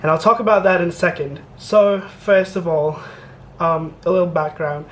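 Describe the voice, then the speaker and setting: A man's voice: high-pitched, fairly even intonation, normal speed, a little hiss or hum. A young man from Malaysia chatting casually to the camera as a student vlogger, rambling a little with self-deprecating asides. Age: young adult.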